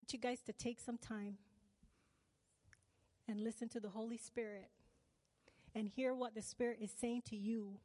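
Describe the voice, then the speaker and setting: A woman's voice: fairly high pitch, fairly even intonation, normal speed, long pauses, dark tone, dry recording, big-room sound. A woman from Barbados preaching a sermon. She keeps asking the congregation questions and calls for "amen" and "right?".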